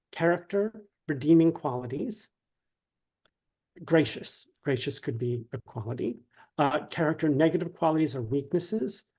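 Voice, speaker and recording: Male interview guest, slightly higher register, careful and halting explainer, informal teaching tone. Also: a little mellow. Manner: hesitant, halting, measured explaining. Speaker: guest writer being interviewed. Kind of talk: informal instructional interview talk.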